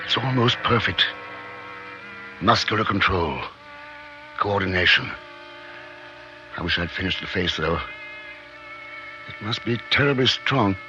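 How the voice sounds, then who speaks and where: An actor in a 1950s radio drama playing an obsessed scientist, speaking in intense, halting bursts, awed and fearful of what he has made. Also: fairly low pitch, expressive, background noise. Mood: awed, agitated. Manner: intense, halting, talking to himself in short bursts. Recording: dull 1950s radio recording.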